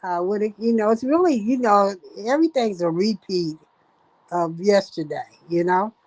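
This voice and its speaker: An older woman from Ohio, the host's mother, chatting as a guest in halting speech full of fillers and restarts. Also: mid-range voice, darkish tone, no room sound, rough recording. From Dayton, Ohio, USA. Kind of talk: casual chat.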